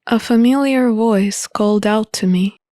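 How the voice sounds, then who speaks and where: A woman's voice: medium pitch, measured pace, dark tone, no echo, studio quality. A woman reading scripted first-person story narration for a visual novel playthrough.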